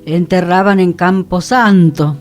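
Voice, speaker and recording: A woman's voice: fairly low pitch, fairly even intonation, unhurried. A woman discussing history conversationally on a radio panel. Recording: music playing behind the voice.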